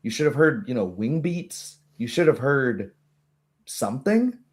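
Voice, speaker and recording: A man voicing a small, blunt gnome woman in a tabletop game, rambling informally and haltingly as she explains things. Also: mid-range voice, sing-song. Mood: musing, uncertain. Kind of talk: tabletop roleplay dialogue.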